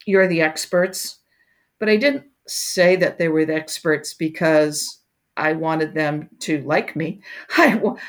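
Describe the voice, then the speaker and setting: Low-voiced older woman, reflective and halting. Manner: reflective, many fillers and restarts. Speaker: guest, education researcher. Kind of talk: conversational interview.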